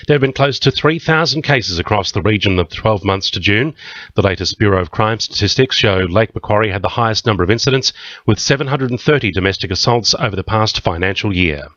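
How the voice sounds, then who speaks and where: A man reads the morning news bulletin in a measured, even way. His voice sits on the low side, and the sound is dry and close. The studio recording is a little dull and muffled. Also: no room sound. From Australia. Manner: measured, even newsreader delivery. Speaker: newsreader. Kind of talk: scripted news reading. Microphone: studio microphone. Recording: slightly muffled community radio studio.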